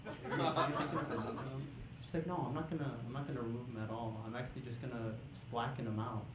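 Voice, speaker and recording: A young man doing a stand-up comedy set on a club stage, hyping the crowd and then dropping into deadpan setups. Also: medium pitch, fast talker. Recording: echoey club with crowd noise.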